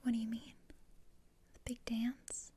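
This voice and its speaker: Woman as gloomy, haughty teen girl for ASMR roleplay: very breathy whisper, dark and soft, dryly sardonic. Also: on the high side, unhurried. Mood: scheming. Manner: deadpan, dry, haughty. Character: gloomy, sardonic teenage outcast girl, coldly disdainful.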